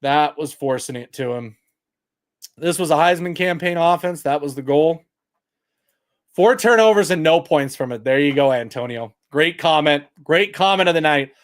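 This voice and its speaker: Fired-up guy ranting about a football game, loud projecting voice, kinda high. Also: moderate pace. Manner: loud projecting voice, heated and exasperated; reads stats aloud to chat. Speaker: sports talk host. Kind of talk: post-game livestream commentary.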